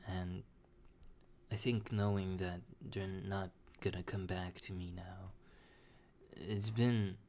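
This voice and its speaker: A young man talking slowly and hesitantly in a personal confessional monologue, with many restarts. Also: low-pitched, little pitch movement, a little mellow, a little hiss or hum. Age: young adult. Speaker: vlogger.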